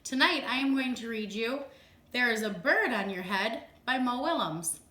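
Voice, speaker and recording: A woman teacher reading a children's story aloud to families at bedtime, slow and deliberate. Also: a little high, lively intonation, sharp tone, reverberant.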